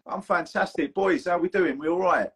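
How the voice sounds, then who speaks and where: A man's voice: high-pitched, normal speed, creaky, a crowd in the background. A man hosting a casual podcast interview over a video call, chatty and laddish, swearing freely. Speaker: podcast host.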